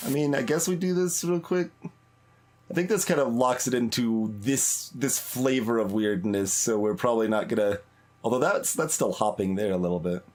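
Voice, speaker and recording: A man's voice: mid-range voice, moderate pace. A man giving casual live game commentary as a streamer, thinking aloud in a hesitant, musing way and trailing off mid-thought. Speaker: streamer, let's play host. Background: game music.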